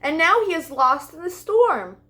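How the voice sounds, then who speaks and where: A woman's voice: very high voice, sing-song, measured pace, bright, forceful tone, pitched above the performer's own voice, exaggerated voice. A woman voicing a young female lamb in a children's storybook, curious and clingy, with an earnest, pleading manner. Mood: sad.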